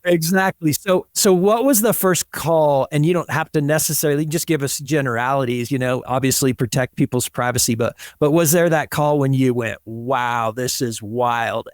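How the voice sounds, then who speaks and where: A man's voice: medium pitch, dry recording, clean studio sound. A man hosting a podcast, interviewing in a casual, colloquial way and often checking in with short tag questions. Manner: colloquial, emphatic, checks in with "right?" and "you know". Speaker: podcast host.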